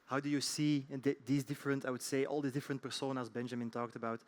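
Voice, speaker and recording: A man's voice: mid-range voice, little pitch movement, quick pace. A man moderating a panel discussion, hesitant, with many ums and restarts as he puts his questions.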